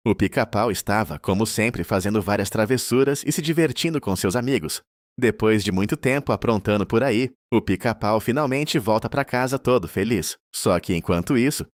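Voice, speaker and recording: A Brazilian man with a fairly low voice hosts and narrates a horror tale. He speaks as a storyteller addressing his viewers, at an even, ordinary pace. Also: no echo, studio quality. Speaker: narrator and host.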